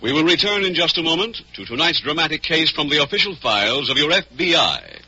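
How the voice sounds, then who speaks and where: A man's voice: on the high side, sing-song, brisk, music in the background. An American man announcing for a 1940s radio sponsor with emphatic, polished salesmanship. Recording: dull, band-limited vintage radio recording.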